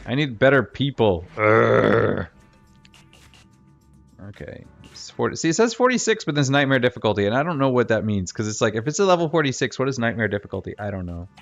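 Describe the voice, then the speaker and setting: A man's voice: mid-range voice, sing-song, brisk, vocal fry. A middle-aged man with a Virginian accent, chatting casually and rambling while he streams a game. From Virginia, USA. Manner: rambling, self-correcting, thinks aloud. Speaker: gaming streamer. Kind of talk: casual chat while livestreaming gameplay. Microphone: desk mic. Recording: dull, lo-fi stream mic with game music.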